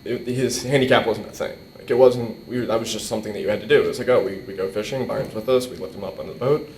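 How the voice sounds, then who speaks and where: A young man giving an informal eulogy, emotional and halting as he repeats words, at a stand microphone indoors. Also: steady tone, darkish tone. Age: young adult. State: emotional, struggling to keep composure. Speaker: eulogist at a memorial, nephew of the deceased. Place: indoor hall. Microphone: microphone on a boom stand. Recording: roomy echo, faint rumbling noise.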